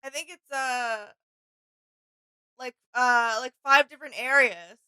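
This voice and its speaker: Very bright, clear woman chatting casually. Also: high-pitched, takes it slowly, dry recording. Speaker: reaction show co-host. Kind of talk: casual reaction commentary.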